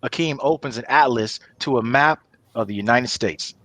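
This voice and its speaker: A man's voice: medium pitch, moderate pace, a bit bright, dry recording. A man reading stage directions as narrator of a comedy table read.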